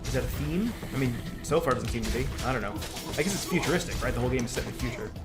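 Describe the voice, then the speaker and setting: A man's voice: mid-range voice, animated, racing. A man casually reacting in short, laid-back remarks while playing a video game with a friend. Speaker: gaming commentator, co-op player. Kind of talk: casual game commentary chat. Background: game music.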